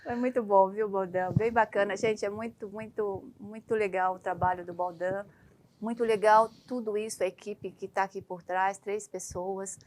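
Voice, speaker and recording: A woman from Brazil talks as a doctor guest in a TV studio. She gives frank, forceful advice with lively, emphatic delivery. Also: mid-range voice, moderate pace. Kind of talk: TV interview.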